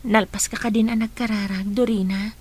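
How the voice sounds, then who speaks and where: A woman's voice: mid-range voice, steady tone, brisk, darkish tone, slight background noise, natural voice. A woman acting a supportive, reassuring young friend in an Ilocano radio drama.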